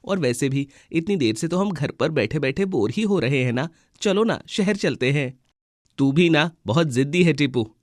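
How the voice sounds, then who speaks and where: A man's voice: on the high side, lively intonation, moderate pace, no echo, clean studio sound, lightly acted. A man voicing young brother birds in a children's cartoon, emphatic and boyish. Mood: bored, insistent. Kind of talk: Hindi children's cartoon dialogue.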